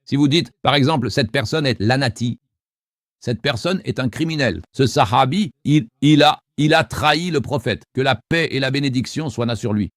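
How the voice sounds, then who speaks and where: A man hosts a call-in show and argues hard with his callers, cutting in on them and pressing his points. His voice sits at an average pitch. The studio sound is dry and close. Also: moderate pace, no room sound. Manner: forceful, argumentative, interrupts callers. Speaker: host. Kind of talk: call-in debate.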